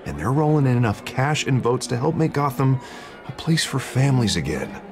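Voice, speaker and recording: A man's voice: mid-range voice, very animated, brisk. An American man acting as an upbeat, polished district attorney running for mayor in a video game. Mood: coaxing, earnest. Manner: polished, jovial speechmaking. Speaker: politician campaigning for mayor. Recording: music behind the voice.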